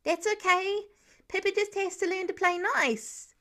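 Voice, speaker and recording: A woman's voice: extremely high pitch, steady tone, measured pace, sharp tone, voice pushed up, full character voice. A woman voicing an upset, insistent little boy in a storybook reading, pleading earnestly.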